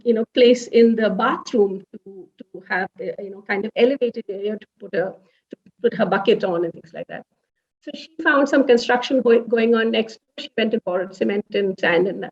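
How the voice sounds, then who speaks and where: Fifties Indian woman, South Indian English accent, higher voice, recorded far off with dull lo-fi sound. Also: darkish tone. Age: 50s. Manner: reflective, many "uh" fillers and restarts. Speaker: senior tech executive. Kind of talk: interview recollection.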